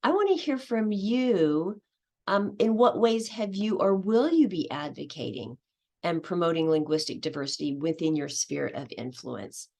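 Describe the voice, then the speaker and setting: A woman's voice: fairly low pitch, animated, moderate pace, creaky, darkish tone. A woman giving a conference presentation on a video call, talking casually with many fillers and restarts. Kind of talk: casual presentation with audience questions.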